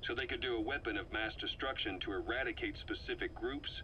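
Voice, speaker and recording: A lowish, sharp-edged man's voice, as a shrewd intelligence officer in a game. He lays out his theory in emphatic, deliberate monologue, analytical and intense, on a faintly noisy cassette-style recording. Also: lo-fi sound. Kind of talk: scripted briefing monologue in a video game.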